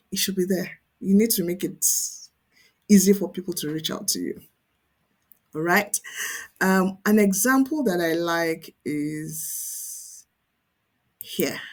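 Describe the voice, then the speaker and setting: A woman presenting an online tutorial as a coach, explaining conversationally and emphatically, often checking in with the listener. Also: fairly low pitch. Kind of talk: instructional screen-share tutorial, conversational.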